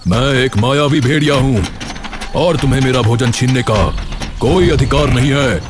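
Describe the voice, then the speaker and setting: A man voicing a hungry magical talking wolf, menacing yet capable of pity, in an animated moral story, speaking in a commanding, deliberate way. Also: medium pitch, background noise, natural voice. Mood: menacing, demanding.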